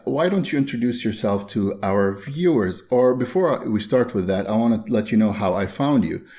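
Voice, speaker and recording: A man from Bahrain hosting a podcast, asking questions in a relaxed, friendly chat. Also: on the low side. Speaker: podcast host. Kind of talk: casual interview chat.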